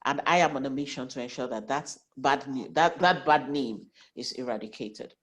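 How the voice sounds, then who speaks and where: A woman's voice: rumbling low, normal speed. A woman in her forties, a financial planner with a heavy cold and a nasal voice, teaching an online class in an earnest way that often restarts words. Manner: earnest, repeats and restarts words while explaining. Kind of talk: online class, giving tips.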